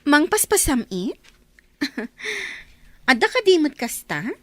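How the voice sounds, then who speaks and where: Woman playing a coy Filipino flirt, high-pitched and swooping. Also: very animated, slow pace, a bit bright, no room sound, exaggerated voice. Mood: coy, teasing. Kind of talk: Filipino radio drama acting.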